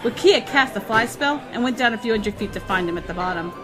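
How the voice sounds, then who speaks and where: Woman playing bold boastful warrior woman, blunt, confident, fairly high pitch, comic fantasy audio drama, clear music behind. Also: animated, fast, natural voice. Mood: wry. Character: brash, confident female swordswoman, sexy and boastful. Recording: music under the voice.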